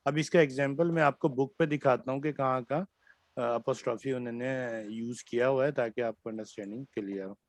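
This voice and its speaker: A man with a Pakistani accent teaches French over a video call. He explains slowly and patiently, mixing Hindi-Urdu with English and pausing on fillers. His voice sits at a middling pitch, and the room sounds dry and close. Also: no room sound. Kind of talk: online class lesson.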